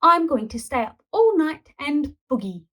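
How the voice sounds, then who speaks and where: A woman's voice: high voice, very animated, measured pace, sharp tone, voice pushed up, full character voice. A woman voicing a cheeky, defiant young boy who whines and protests, in a children's storybook. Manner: whiny, protesting.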